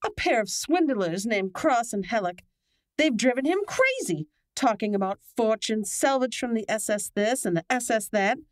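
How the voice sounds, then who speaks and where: A woman's voice: a little high, lively intonation, moderate pace, dry recording, natural voice. A woman playing an earnest, aggrieved young client in an old-time radio drama, speaking emphatically.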